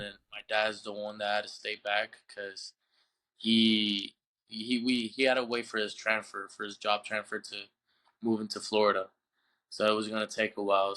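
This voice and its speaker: A young man from Puerto Rico, a baseball player being interviewed on a podcast, telling his story in a halting way and often repeating words as he searches for the English. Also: on the low side, pushed and bright, clear, resonant tone, poor audio. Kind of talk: casual interview.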